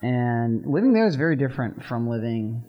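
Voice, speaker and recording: A man's voice: medium pitch, normal speed, muffled recording. A young man with a Texan accent, co-hosting a college radio show in casual, rambling chat. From Texas. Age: young adult. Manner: relaxed, rambling with many "like" fillers.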